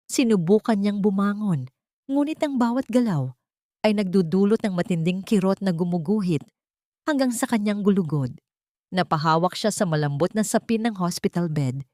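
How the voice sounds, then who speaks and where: A woman reading a scripted melodramatic story in a solemn, measured way. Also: mid-range voice, expressive, warm tone, no room sound, clean studio sound. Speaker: story narrator. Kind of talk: dramatic scripted narration of a melodrama.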